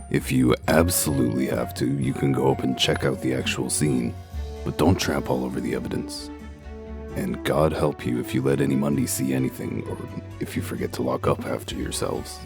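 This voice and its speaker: An extremely deep-voiced, gruff detective for a comic dub. He is blunt, commanding and sardonic, and clear music plays behind his curt lines. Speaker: investigator. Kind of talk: comic dub character acting.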